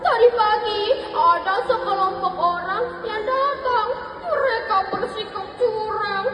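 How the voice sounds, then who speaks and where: High-pitched woman storyteller, echoey hall. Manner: dramatic, drawn-out emphasis. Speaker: storytelling contestant. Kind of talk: theatrical children's storytelling. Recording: echoey hall with loud music behind.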